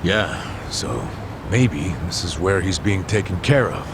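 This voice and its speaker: Gruff game sidekick, low voice, matter of fact, noisy behind. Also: male voice, lively intonation, a little slow. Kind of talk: English game dub acting.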